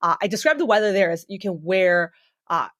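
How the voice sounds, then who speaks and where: A woman's voice: mid-range voice, fairly quick, no echo, clean studio sound. Friendly woman with a North American accent. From China, then New Zealand and Canada. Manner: friendly, laughing easily, animated storytelling. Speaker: guest, derivatives market analyst. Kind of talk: interview conversation.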